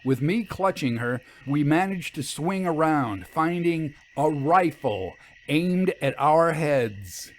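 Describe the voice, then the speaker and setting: A man's voice: on the high side, lively intonation, takes it slowly, slight background noise. A man reading scripted fiction aloud as the narrator.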